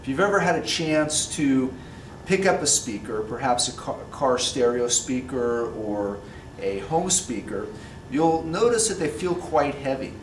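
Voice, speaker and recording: A man in his forties explains a science demonstration step by step, like a physics teacher, in a deliberate way. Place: classroom science lab, indoors. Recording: roomy, distant mic, background rumble.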